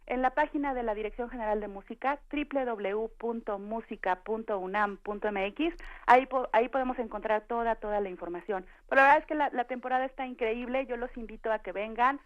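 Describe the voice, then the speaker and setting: A woman's voice: fairly high pitch, fairly quick, hardly any pauses, muffled recording. A woman with a Mexican accent chatting as an interview guest in an effusive, courteous way, promoting events. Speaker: guest, orchestra administrator. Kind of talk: promotional radio interview chat.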